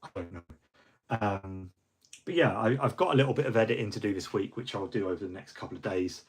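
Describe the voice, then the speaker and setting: A middle-aged man rambles through a casual livestream wrap-up, full of ums and false starts. His voice is husky and sits a little low. He sounds a bit fluey. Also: a little fast. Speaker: hobby livestream host.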